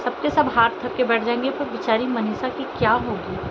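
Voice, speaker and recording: A woman's voice: fairly high pitch, steady tone, normal speed. A woman from India vlogging informal, opinionated commentary to viewers in an emotive way, with rhetorical questions and repetition. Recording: dull lo-fi audio, loud vehicle noise.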